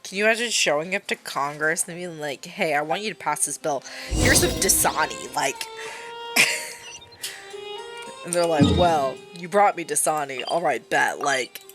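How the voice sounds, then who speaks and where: A woman's voice: deep voice, normal speed. A woman livestreaming a game with casual, slangy, sardonic commentary and some swearing. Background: game music.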